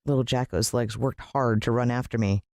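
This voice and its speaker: An American woman narrating a children's story in an expressive, dramatic storytelling manner. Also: extremely low pitch, brisk, gravelly, no echo, clean studio sound. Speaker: audiobook narrator.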